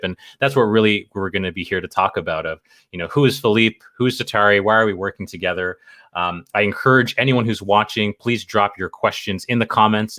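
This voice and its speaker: A man's voice: low voice, sharp tone, dry recording. A man hosting a casual interview show, speaking eagerly with lots of fillers. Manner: eager, filler-heavy, lots of you know. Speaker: show host.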